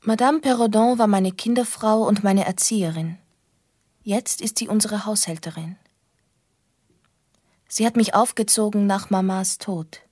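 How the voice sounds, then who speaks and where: An actress playing a lonely, wistful young woman, narrating a radio drama in a breathy, half-whispered, reflective voice. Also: mid-range voice, unhurried, pauses often, darkish tone, no echo, clean studio sound, lightly acted. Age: young adult. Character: lonely, wistful young woman recalling her childhood in a castle. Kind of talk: intimate first-person radio drama narration.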